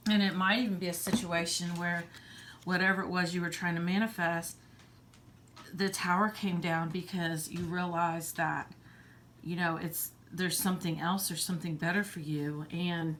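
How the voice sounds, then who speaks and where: A woman with a hoarse voice giving a casual tarot reading, halting with many ums and frequent throat clearing. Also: on the low side, a little hiss or hum. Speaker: tarot reader.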